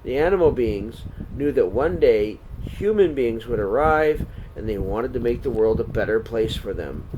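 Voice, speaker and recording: A man's voice: mid-range voice, normal speed, few pauses, lo-fi sound. Man reading a storybook aloud over room noise. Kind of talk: children's storybook read-aloud. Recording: steady air-conditioner noise behind.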